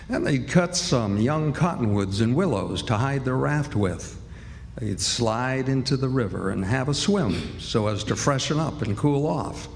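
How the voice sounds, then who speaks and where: Gravelly male actor as a folksy storyteller. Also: medium pitch, darkish tone. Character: folksy, unhurried storyteller of river life. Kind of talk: radio drama narration. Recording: noisy echoey hall, live stage.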